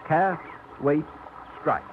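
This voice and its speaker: A man narrating a 1950s radio drama in a measured, storytelling manner. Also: on the high side, background noise. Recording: tinny old radio broadcast with music.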